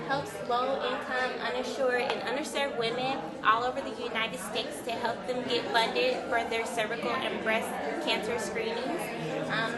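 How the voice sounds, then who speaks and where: College senior girl presenting her poster, kinda high voice, roomy hall, loud crowd. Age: 20s. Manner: friendly, laughs between phrases. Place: large busy conference hall.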